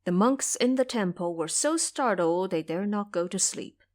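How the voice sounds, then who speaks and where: A woman narrates an audio drama at a middle pitch. Her delivery is scripted storytelling, shaped dramatically for a fantasy tale. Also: lively intonation, moderate pace, a little mellow.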